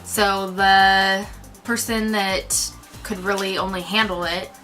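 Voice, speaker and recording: A woman's voice: mid-range voice, fairly even intonation, slow pace, bright, forceful tone, faint music behind. A woman co-hosting a casual movie review, talking informally and enthusiastically with slang.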